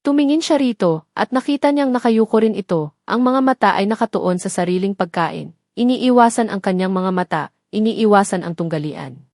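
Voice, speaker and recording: A woman's voice: mid-range voice, animated, normal speed, a little mellow, no room sound, studio quality. A woman narrating a scripted romantic drama story in a solemn, dramatic manner.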